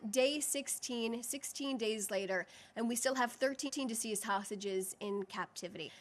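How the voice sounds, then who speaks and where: A woman with a fairly high voice delivers a formal press briefing as a government spokesperson. She phrases her points deliberately and leans on key words with firm emphasis. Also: fairly bright tone. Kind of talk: formal press briefing, prepared statement and answers to questions.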